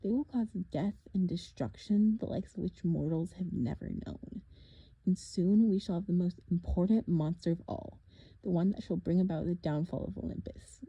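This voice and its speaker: A gloating monstrous villain voiced by a woman narrator who drawls his threats slowly and laughs coldly. The voice is dark and mellow, a little husky. The recording is close and dry, with no room sound. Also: on the low side, no echo, muffled recording. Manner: slow, menacing, with cold laughs. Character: gloating monstrous male villain doctor, cruel and smug. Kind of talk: audiobook character voice.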